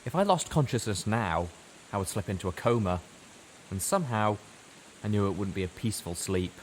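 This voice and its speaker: A man narrating first-person fiction dramatically, with weighty delivery and deliberate pauses. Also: fairly low pitch, expressive, low-quality recording. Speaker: story narrator. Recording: faint background hiss.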